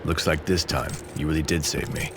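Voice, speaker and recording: A man voicing a gruff, wry, hard-bitten warrior in a video game. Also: extremely low pitch, fairly even intonation, brisk, background noise. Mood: wry, low-key. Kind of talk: English video game voice acting.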